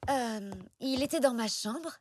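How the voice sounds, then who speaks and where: A woman playing a gentle, slightly flustered zebra mother in a French children's cartoon, speaking hesitantly. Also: high-pitched, animated, unhurried. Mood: recollecting, unsure.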